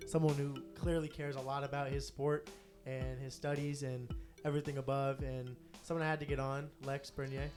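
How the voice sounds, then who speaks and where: A young man hosting a podcast, asking questions in a casual way, with many quick restarts. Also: medium pitch, flat delivery, darkish tone, soft background music. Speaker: podcast host.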